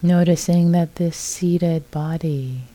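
A woman leads a guided meditation in a very soft, dark and mellow voice. She speaks slowly and gently, leaving long silences between short phrases. Her pitch sits low for a woman, and her delivery stays calm throughout. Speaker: meditation teacher.

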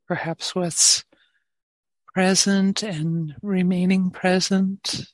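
Slow, low-voiced woman guiding meditation. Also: level tone, no echo. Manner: gentle, unhurried instruction with long pauses. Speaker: meditation teacher. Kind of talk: guided meditation.

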